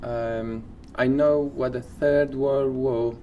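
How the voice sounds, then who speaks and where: A man co-hosting a podcast, casually sharing odd facts in halting, informal phrases. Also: medium pitch, fairly even intonation, warm tone, lo-fi sound, a little hiss or hum. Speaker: podcast co-host.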